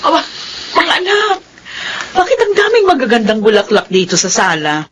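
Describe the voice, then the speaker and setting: A woman's voice: fairly high pitch, wildly swooping pitch, normal speed, a bit bright, a little hiss or hum. An actress playing a loving, forgiving middle-aged mother in a Filipino radio drama, tender and sentimental. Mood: surprised. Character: loving, forgiving mother of grown children, gentle and devout.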